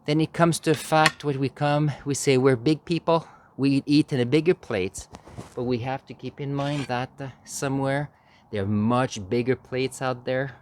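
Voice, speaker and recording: A man giving a storytelling speech as an amateur speaker, halting, with dramatic pauses and emphasis. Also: mid-range voice, fairly even intonation, a little hiss or hum. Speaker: amateur public speaker practicing a contest speech. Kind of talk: animated storytelling speech, tall tale.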